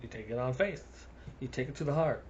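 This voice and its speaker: A man's voice: medium pitch, fairly quick, lo-fi sound, over loud music. A man with a South African accent rambling through a casual monologue, chuckling between his thoughts.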